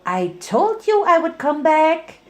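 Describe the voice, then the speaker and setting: A woman's voice: high voice, big pitch swings, slow, pitched above the performer's own voice, exaggerated voice. A woman voicing a hyperactive, cheerful and eccentric young cartoon pony in a bubbly, exclaiming manner. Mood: bubbly, eager.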